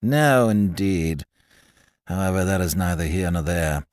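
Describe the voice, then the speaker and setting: A man voicing a languid, smug young man laid up in bed, who speaks in a quiet, slow, patronizing drawl. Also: low-pitched, lively intonation, no room sound, studio quality, voice pushed down.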